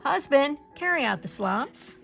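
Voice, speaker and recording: A woman's voice: high voice, lively intonation, unhurried, vocal fry, soft background music, voice pushed up, heavily acted. A woman voicing a kindly, practical old wife in a storybook folktale, earnest and emphatic. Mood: bossy.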